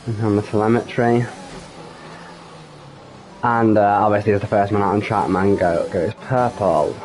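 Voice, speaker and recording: A man giving solo live commentary on an online racing league, slightly croaky from a cold, hesitant with frequent ums and self-corrections. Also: fairly low pitch, fairly even intonation, normal speed, a little mellow, a little hiss or hum, low-quality recording.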